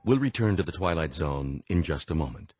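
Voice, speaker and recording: A man's voice: a bass voice, animated, brisk, very noisy. An American man working as a radio announcer, reading scripted credits and continuity.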